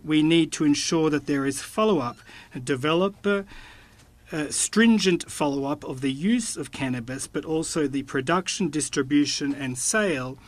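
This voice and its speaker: A man interpreting formal committee testimony, with a measured, halting delivery. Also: a little high, no echo.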